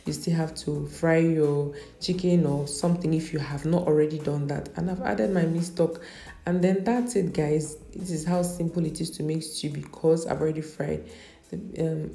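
A woman's voice: deep voice, fairly even intonation, normal speed, dark tone. Chatty woman in an echoey kitchen. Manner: chatty, with many fillers and self-corrections. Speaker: cooking vlogger. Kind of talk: casual meal-prep narration. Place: home kitchen.

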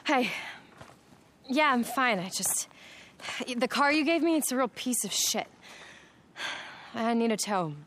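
A woman voicing a rattled but feisty young American motorist in a video game, halting and stammering with sighs. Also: a little high, expressive, fairly bright tone. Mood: flustered, irritated. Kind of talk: naturalistic video game cutscene acting.

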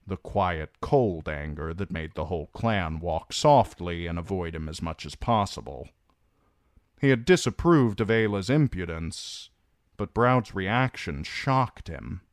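A man reading a novel chapter aloud in a measured, steady storytelling voice. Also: lowish voice, no echo, studio quality. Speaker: audiobook reader.

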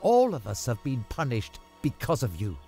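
A man's voice: medium pitch, wildly swooping pitch, moderate pace, vocal fry, over music. An actor playing a stern, grieving nobleman in a formal, declamatory style for a dramatized classic. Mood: stern reproach. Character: stern, grieving authoritative Italian nobleman of old Verona. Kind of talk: dramatized illustrated-classic audio play.

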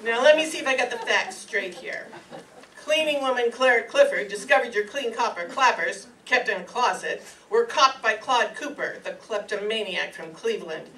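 An older woman playing a deadpan, terse, businesslike male police detective in a radio crime drama parody, with clipped delivery. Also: fairly high pitch, moderate pace, distant mic, a little hiss or hum, rough recording. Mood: deadpan, inquisitive. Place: community meeting room stage with audience.

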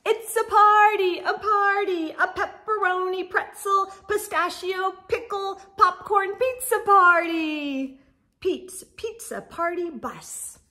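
A middle-aged woman reading a children's storybook aloud with expressive, exaggerated emphasis and sing-song refrains. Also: very high voice, slow pace. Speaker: storytime read-aloud host. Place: indoor room with bookshelves.

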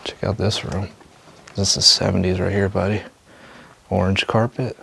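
A man narrating a walk through an abandoned building, calmly pointing things out as he goes. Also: normal speed, creaky, breathy edge. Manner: low-key, observational, thinking aloud. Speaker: urban explorer host. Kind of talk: walking vlog narration.

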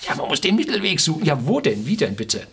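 A man's voice: fairly high pitch, sing-song, quick pace, fairly bright tone, husky. A man in his sixties from Germany's Ruhr area, giving an opinionated, informal explainer to camera, emphatically and with rhetorical questions. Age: 60s. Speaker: video blogger. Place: small furnished room indoors.

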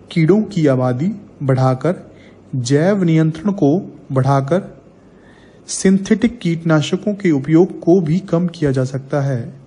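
A man narrating a scripted agricultural training film as a voice-over, reading slowly and evenly in formal wording. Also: on the high side. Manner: slow, even reading with formal Hindi wording.

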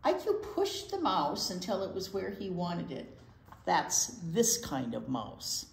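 Expressive older woman reading aloud, creaky voice. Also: on the low side. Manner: expressive, pausing for asides to young listeners. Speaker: library storytime reader. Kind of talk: reading a picture book aloud to children. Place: indoor library storytime set. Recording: roomy indoor sound.

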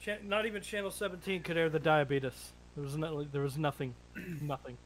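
A man's voice: on the high side, fairly even intonation, a little fast, a little hiss or hum, low-quality recording. A man reacting to a video with friends in a casual, joking chat.

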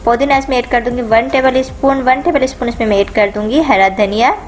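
A woman hosting a home cooking channel, calmly talking viewers through each step of a recipe in a voice-over. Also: fairly high pitch, fairly quick, slight background noise. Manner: calm, instructive, repeats each step.

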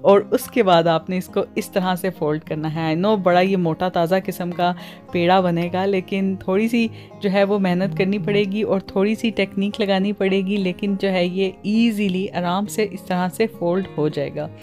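A woman's voice: medium pitch, steady tone, normal speed, darkish tone, faint music behind. A woman with a Pakistani accent hosts a cooking tutorial in voice-over, mixing English words and phrases into her talk. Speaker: cooking channel host.

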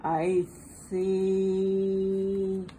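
A woman reading a picture book aloud to young children in an expressive, sing-song manner, recorded indoors at a table. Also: mid-range voice, very slow. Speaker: children's storybook reader. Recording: faint background room noise.

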